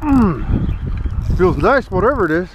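A young man vlogging his fishing trip outdoors on a bridge, talking excitedly to viewers on an action camera. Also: high-pitched, slow. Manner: excitable, talks to viewers as "you guys". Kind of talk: excited casual fishing vlog. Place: outdoors on a roadside bridge over a canal. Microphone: wearable action camera. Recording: loud wind noise outdoors. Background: wind and passing trucks.

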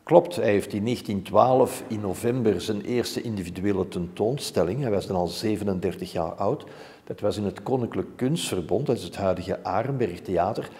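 A Flemish man in his sixties speaks in a low, soft-edged, mellow voice. He explains things informatively, as a curator does in an interview. The room adds a long reverberant tail to his words. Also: moderate pace. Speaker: museum curator being interviewed. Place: indoor museum room hung with framed art.

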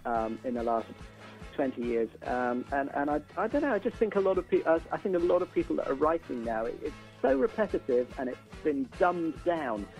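Higher-voiced older man, opinionated interview guest. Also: animated, a little fast, darkish tone, faint music behind, low-quality recording. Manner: opinionated, repeats words as he builds a point. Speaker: interview guest, band musician. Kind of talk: casual radio interview.

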